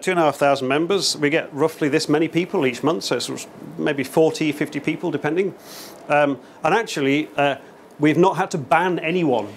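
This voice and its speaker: A man's voice: a little high, slight background noise. A middle-aged man with a chatty, upbeat manner giving a short lightning talk to a meetup audience in a small venue. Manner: chatty, upbeat, many fillers and restarts. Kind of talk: casual conference talk. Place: small event venue indoors, in front of an audience.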